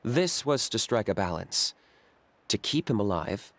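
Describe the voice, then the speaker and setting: Low-voiced, coolly blunt game character. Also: male voice, sing-song. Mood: composed, serious. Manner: matter-of-fact, unhurried, dry. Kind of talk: video game character acting.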